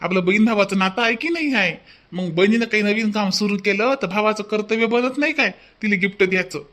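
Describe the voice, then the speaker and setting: A man's voice: high voice, a little fast. A man voicing a kind, brotherly village ice-cream seller in a cartoon. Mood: friendly. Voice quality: warm-hearted, brotherly.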